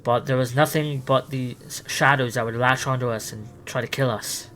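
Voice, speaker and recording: A man's voice: medium pitch, fairly even intonation, normal speed, fairly bright tone, slight background noise, low-quality recording. A man casually improvising a roleplay conversation, hesitant and rambling. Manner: hesitant, rambling, with many "like" fillers. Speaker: player roleplaying with an AI character.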